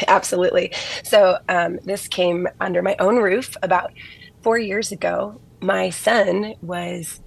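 A woman's voice: mid-range voice, steady tone, takes it slowly, vocal fry, husky. A woman author speaking as a guest on a radio interview, friendly, with a scratchy voice today.

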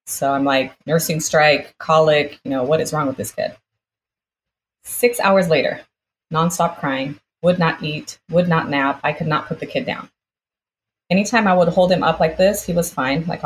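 A woman's voice: deep voice, a little hiss or hum, low-quality recording. A woman from the United States, born in Brazil, telling a personal story conversationally as an interview guest, quoting people as she goes.

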